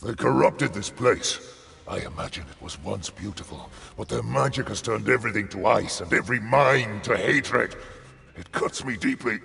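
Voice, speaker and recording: A man playing a huge, weary dragon in a fantasy game, gentle and solemn, with a gravelly voice. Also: lowish voice, moderate pace. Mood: sorrowful. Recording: cavernous long reverb.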